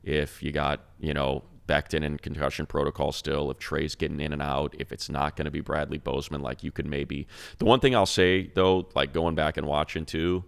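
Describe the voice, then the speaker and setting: American guy w super low creaky voice breaking down football on a podcast, casual. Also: level tone, normal speed, hardly any pauses. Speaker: sports podcast co-host.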